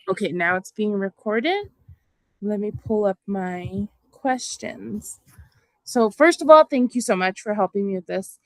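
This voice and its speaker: A woman's voice: medium pitch, lively intonation, measured pace, no echo. Woman student asking interview questions.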